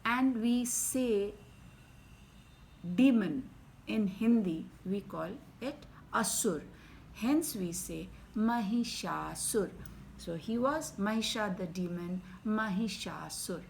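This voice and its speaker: A woman with an Indian English accent reading a story aloud to children, swinging from loud emphasis to soft whispers. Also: medium pitch, expressive, slow, vocal fry, slight background noise. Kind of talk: expressive bedtime story reading.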